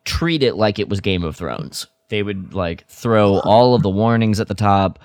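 Man, podcast co-host, lower pitch, dry studio-like sound, informal, halting, thinking aloud. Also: lively intonation, a little mellow, no echo. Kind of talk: casual chat, media discussion.

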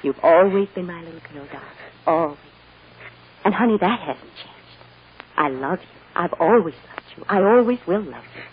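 A woman's voice: lowish voice, animated, moderate pace, lightly acted. An actress playing a loving, reassuring American mother soothing her young daughter in an old-time radio drama. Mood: tender, soothing. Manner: tender, reassuring, emotionally earnest. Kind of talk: 1940s-50s American radio drama acting. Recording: dull, noisy old radio recording.

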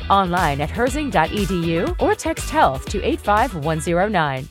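A woman's voice: medium pitch, very animated, moderate pace, faint music behind. An American woman reading a scripted advertisement as a voice-over. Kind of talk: scripted commercial read.

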